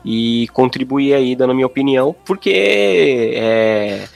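A man's voice: medium pitch, measured pace, gravelly, soft background music. A Brazilian man talking casually as a guest on a podcast. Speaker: podcast guest, audio description consultant. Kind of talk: casual conversation.

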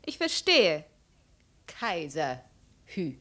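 An actress playing a cold, shrewd young princess with a commanding, ironic and probing manner, in 1950s radio drama style. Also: female voice, low-pitched, huge pitch swings, drawn-out words, pushed and bright, full character voice. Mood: cold, guarded. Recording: 1950s radio studio recording.

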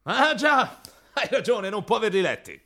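An actor playing a crude, gleeful, bullying interrogator in a theatrical radio drama, moving between hushed menace and loud outbursts. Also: male voice, high-pitched, big pitch swings, moderate pace, heavily acted. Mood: sardonic.

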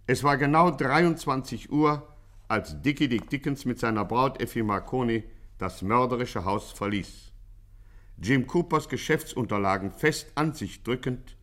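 A man's voice: medium pitch, lively intonation, normal speed, fairly bright tone. A man narrating a 1950s radio serial in a formal, mock-dramatic announcer's delivery.

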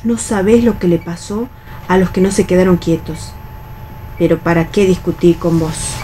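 An actress playing a resigned, bossy veteran factory worker in a radio play, with an Argentine accent and a colloquial, admonishing way of talking. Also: female voice, lowish voice, steady tone, normal speed, mellow, slight background noise, lightly acted, low-quality recording. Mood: guarded, scolding. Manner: colloquial, admonishing, world-weary. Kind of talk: radio read theatre.

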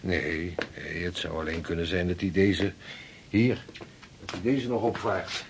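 An actor playing a confident sixteenth-century navigator in a historical radio drama. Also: male voice, deep voice, fairly even intonation, measured pace, background noise. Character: confident 16th-century navigator showing his sea charts.

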